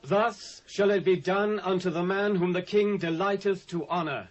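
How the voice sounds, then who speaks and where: A man's voice: high-pitched, normal speed, close to an everyday voice. A British man playing a vain, ambitious courtier in a dramatised scripture reading, in a grand declamatory manner. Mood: proclaiming. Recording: dull lo-fi cassette audio.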